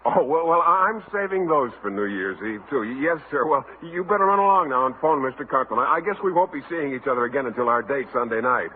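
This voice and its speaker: A man's voice: medium pitch, lively intonation, quick pace, few pauses, narrow, tinny audio, lightly acted. Actor as awkward, hesitant man on thin old radio. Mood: flustered. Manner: hesitant, stammering. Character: awkward, oblivious, mild-mannered bachelor man. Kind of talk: 1950s radio sitcom acting. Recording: thin 1950 radio broadcast with hiss.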